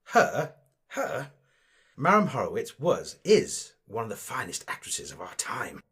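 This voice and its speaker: A man's voice: medium pitch, huge pitch swings, unhurried, a bit bright, slightly rough, heavily acted. A man playing a vain, snobbish amateur actor in a comic audio drama, with affected, gushing, pompous delivery. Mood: starstruck.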